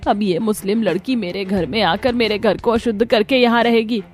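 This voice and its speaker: A woman's voice: mid-range voice, fairly even intonation, moderate pace, close to an everyday voice. Cartoon villain mother-in-law voiced by a woman: middle-aged, harsh, sarcastic, scolding, over music. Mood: outraged.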